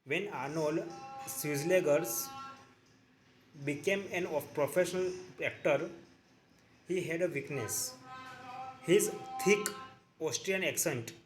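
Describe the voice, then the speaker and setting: Man, somewhat high voice, reading aloud, halting, repeats sentences, self-corrects. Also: music in the background, rough recording. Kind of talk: reading a book aloud as practice.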